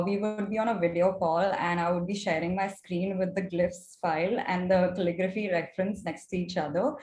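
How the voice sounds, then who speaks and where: A woman's voice: on the low side, a little mellow. A young woman with an Indian accent, a native Gujarati speaker, giving a prepared presentation as a student. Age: young adult. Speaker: mentorship student presenting her project. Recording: roomy echo with clear background noise.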